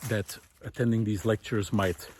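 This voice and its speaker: A man giving an unscripted, reflective monologue while out walking, thoughtful and hesitant, pausing often as he finds his words. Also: lowish voice, fairly even intonation, a little mellow, a little hiss or hum. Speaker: host of a walking audio diary, former teacher. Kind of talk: unscripted reflective spoken monologue recorded on a walk. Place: outdoors, walking.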